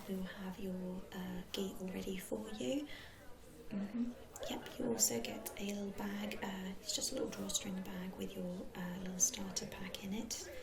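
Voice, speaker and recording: British lady doing asmr receptionist roleplay, soft breathy whisper, gentle and reassuring, bit of people murmur behind. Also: medium pitch. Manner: gentle, reassuring customer-service patter with endearments. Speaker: ASMR performer playing a club receptionist.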